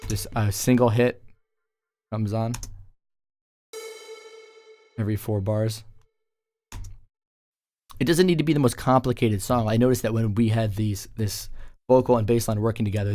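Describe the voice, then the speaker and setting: A man talking casually as a music producer, explaining his work in an informal tutorial voice-over. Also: lowish voice. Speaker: music producer presenting a track breakdown.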